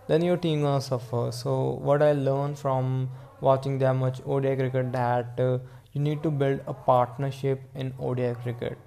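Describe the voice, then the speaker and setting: A man with an Indian accent giving casual solo sports commentary as a podcast host, in a rambling, halting way. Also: mid-range voice, creaky, dark tone.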